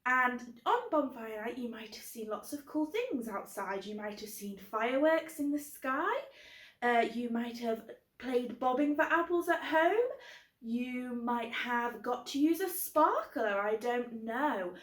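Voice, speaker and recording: A woman's voice: on the high side, lively intonation, a little slow. British woman presenter in an echoey room. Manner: simple, direct address to young viewers. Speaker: host of a children's book club. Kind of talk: children's presenting.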